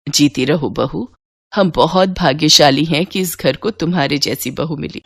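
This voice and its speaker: A woman's voice: low-pitched, normal speed, gravelly, breathy edge, pitched below the performer's own voice, heavily acted. A woman voicing an affectionate, settled older mother in an acted storybook dialogue. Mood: tender, contented.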